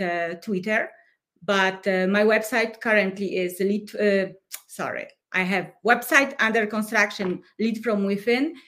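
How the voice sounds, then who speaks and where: A woman's voice: mid-range voice, fairly bright tone. A woman from Poland with a Polish accent, a life coach and podcast guest who speaks in a thoughtful way and often searches for words. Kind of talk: podcast interview.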